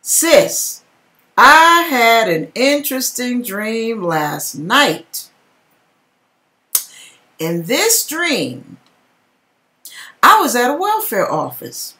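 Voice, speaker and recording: A woman's voice: on the high side, very animated, drawn-out words, long pauses, sharp tone. A middle-aged woman giving a dramatic reading with casual narration, talking emphatically with conversational asides to the viewer. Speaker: video host reading a viewer's dream. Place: home kitchen indoors.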